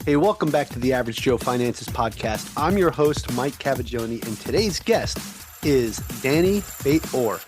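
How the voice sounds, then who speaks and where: A man's voice: mid-range voice, lively intonation, moderate pace, music in the background. A man hosting a finance podcast, enthusiastic and friendly as he interviews his guest. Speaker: podcast host.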